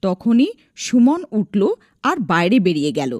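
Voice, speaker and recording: A woman narrating a scripted animated folk tale as its storyteller. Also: medium pitch, measured pace, dry recording, clean studio sound.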